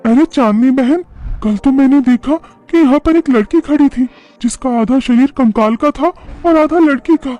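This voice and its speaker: A man's voice: very high-pitched, normal speed, warm tone, voice pushed up. A man voicing a worried, chatty female neighbour who confides in her friend in a horror cartoon. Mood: alarmed.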